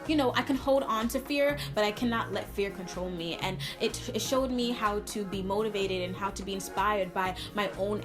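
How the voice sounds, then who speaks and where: A woman from Brooklyn, chatting casually and expressively to camera as a video blogger, stressing points by repeating words. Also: mid-range voice. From Brooklyn, New York, United States. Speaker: video blogger answering viewer questions. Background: music.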